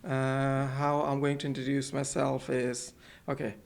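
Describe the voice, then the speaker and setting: A man talks as a podcast guest in an office, at a middle pitch for a man. His voice has a gravelly, creaky edge. He speaks hesitantly and often repeats words and fills gaps while finding his phrasing. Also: completely flat delivery, a little slow. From Pakistan. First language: Urdu. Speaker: guest, center manager. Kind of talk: casual podcast interview.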